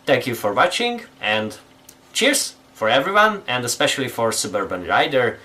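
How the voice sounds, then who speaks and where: A young Hungarian-accented man speaks English, his second language, with a lively, bouncing pitch and a bright, forceful tone. He picks his words carefully and restarts now and then. He talks straight to a camera in a small indoor room. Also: moderate pace. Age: young adult. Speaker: guest reviewer. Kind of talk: product review.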